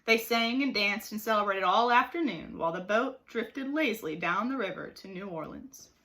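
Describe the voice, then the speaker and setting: Bright, very animated woman storyteller. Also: medium pitch, normal speed. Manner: expressive, dramatic emphasis. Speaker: teacher reading aloud. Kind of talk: animated storybook narration for children.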